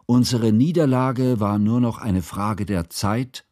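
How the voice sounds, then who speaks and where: A man acting in a radio drama as a grave, reflective narrator recalling a war in formal, measured literary language. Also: medium pitch, darkish tone, dry recording, studio quality, lightly acted. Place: studio.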